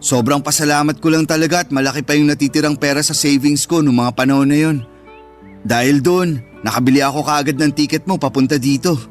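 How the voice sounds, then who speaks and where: An actor playing an emotional, protective older brother with a Filipino accent in a radio drama, pleading and earnest. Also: male voice, fairly high pitch, fairly even intonation, fairly quick, close to an everyday voice. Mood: reassuring. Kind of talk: Tagalog radio drama acting. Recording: background music throughout.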